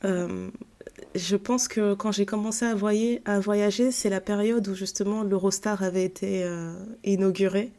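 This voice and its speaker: Mellow-voiced French woman telling her story. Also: medium pitch, moderate pace. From northern France. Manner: relaxed, chatty, many fillers and restarts. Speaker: podcast guest. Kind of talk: casual storytelling chat.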